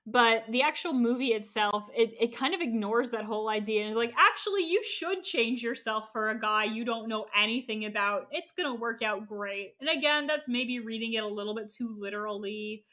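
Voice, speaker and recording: A woman's voice: on the high side, brisk, few pauses, bright, forceful tone. A woman in a casual discussion, sharing opinionated, analytical takes as a podcast co-host.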